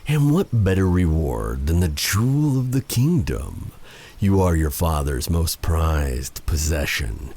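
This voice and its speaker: A man playing a vengeful, silky dark sorcerer in a fantasy ASMR roleplay, taunting and theatrical, with breathy dips toward a whisper. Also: sits low, sing-song, heavily acted. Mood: possessive, threatening. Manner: taunting, theatrical, drawn-out delivery with purred endearments.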